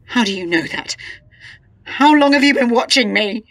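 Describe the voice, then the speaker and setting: An actress playing a distressed, suspicious wife in a radio drama, agitated and accusing, often dropping to a hushed mutter. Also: female voice, fairly high pitch, lively intonation, normal speed, no echo. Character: distressed, suspicious wife convinced her husband is an impostor.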